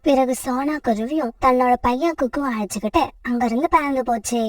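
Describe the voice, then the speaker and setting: High-pitched woman storyteller. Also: a little fast, no room sound, clean studio sound, distant mic. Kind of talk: scripted narration of a children's story.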